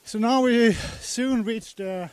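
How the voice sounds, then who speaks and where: A man, reporting live outdoors with a handheld mic while walking up a hill, halting with many pauses. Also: very high-pitched, darkish tone, clean tone, no room sound. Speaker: trackside race reporter. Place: outdoors on a grassy hillside. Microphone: handheld microphone.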